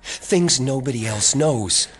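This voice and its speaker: A brooding psychic in an audio drama. The voice is medium in pitch, solemn and intense, with menace in it and faint music behind. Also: male voice, lively intonation, slow pace. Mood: earnest, regretful. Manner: solemn, portentous.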